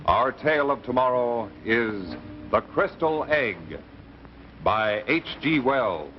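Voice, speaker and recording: Slow, portentous 1950s male announcer. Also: medium pitch, lively intonation, over music. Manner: portentous, deliberate. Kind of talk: 1950s dramatic broadcast announcing. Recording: very noisy muffled 1950s broadcast recording.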